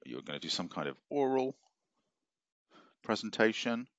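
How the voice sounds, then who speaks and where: A man's voice: on the low side, very animated, moderate pace, no echo, muffled recording. A middle-aged man giving a recorded university lecture from his office over a webcam, rambling with many fillers and restarts. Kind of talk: recorded lecture walking through a syllabus. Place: office at a desk, screen-sharing.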